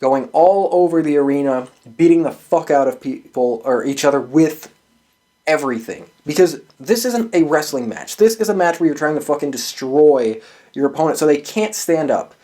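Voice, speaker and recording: A man's voice: on the high side, animated, normal speed, mellow. A man hosting a show, giving casual, opinionated sports commentary in an emphatic, sweary way. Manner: profane and emphatic. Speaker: host of a wrestling prediction show.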